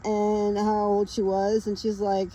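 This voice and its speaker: A woman's voice: mid-range voice, completely flat delivery, unhurried, mellow, poor audio. A woman in her late thirties explaining spiritual ideas in a casual, rambling monologue, emphatic and full of fillers. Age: late 30s. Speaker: spiritual vlogger.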